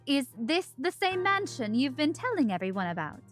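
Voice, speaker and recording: A woman's voice: sits high, sing-song, moderate pace. An actress playing an inquisitive, doubtful young female coworker in a visual novel game, questioning and incredulous. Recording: music behind the voice.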